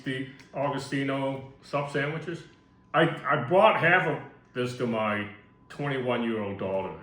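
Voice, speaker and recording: A man's voice: medium pitch, a bit bright. A middle-aged man chatting casually as a co-host. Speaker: channel co-host. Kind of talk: casual chat. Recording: roomy, echoing sound.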